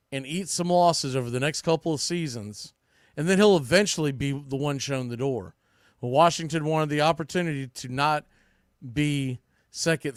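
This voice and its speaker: A man's voice: on the high side, no room sound, clean studio sound. A man hosting a sports podcast solo, giving opinionated baseball commentary in a blunt, colloquial way and repeating phrases for emphasis.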